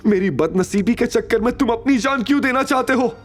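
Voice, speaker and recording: A man's voice: extremely high pitch, brisk, soft background music, voice pushed up. A man voicing a frightened young woman who pleads and stammers in an audio drama. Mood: tearful despair. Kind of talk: Hindi audio drama acting.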